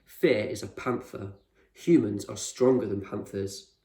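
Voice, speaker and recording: A man voicing an eccentric, proud male explorer in a storybook, speaking with measured authority and reassurance. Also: lowish voice, vocal fry, darkish tone. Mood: encouraging. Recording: roomy echo, distant microphone.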